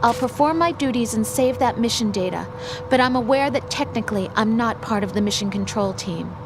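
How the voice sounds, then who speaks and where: An actress playing a young intelligence analyst in a video game, protective and headstrong, speaking in an earnest, assertive but tender way. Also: female voice, fairly high pitch, lively intonation, a little fast, over music. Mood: firm, defiant.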